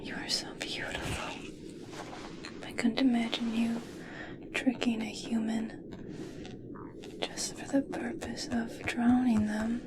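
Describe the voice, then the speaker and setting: A woman playing an obsessed, eccentric scientist in an ASMR roleplay, speaking intimately in a breathy whisper. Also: a little high, level tone. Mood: admiring, fascinated. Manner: slow, intimate, wistful storytelling. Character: obsessed, eccentric female human scientist fascinated by merpeople.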